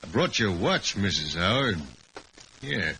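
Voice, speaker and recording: An actor playing a swaggering, menacing American outlaw boss in an old-time radio drama. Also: male voice, lowish voice, very animated, measured pace, sharp tone, a little hiss or hum, exaggerated voice. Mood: affectionate, folksy. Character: swaggering, menacing outlaw gang leader who teases roughly.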